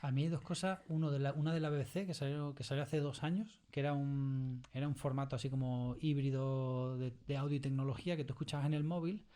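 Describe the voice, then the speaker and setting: Male interview guest, Spain accent, mellow mid-range voice, conversational, emphatic through repetition. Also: mid-range voice, fairly even intonation, fast talker, few pauses. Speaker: audio fiction scriptwriter, interview guest.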